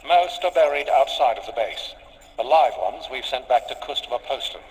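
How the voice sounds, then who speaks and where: An actor playing a dutiful, easygoing scientist's assistant in a video game, formal and obliging. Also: male voice, mid-range voice, expressive, normal speed, lightly acted. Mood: relaxed, dutiful. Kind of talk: video game cutscene acting. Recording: echoey hall with hiss.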